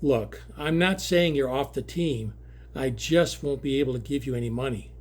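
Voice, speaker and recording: A man playing a sympathetic, even-keeled coach who delivers hard news patiently in an audio drama read. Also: medium pitch, lightly acted. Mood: sympathetic, regretful. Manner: patient, measured, apologetic.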